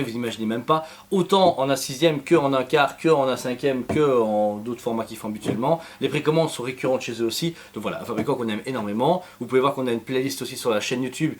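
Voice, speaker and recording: A man with a Belgian French accent, co-hosting a casual review of a collectible statue, talking in quick, rambling runs full of fillers and restarts. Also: mid-range voice, slight background noise.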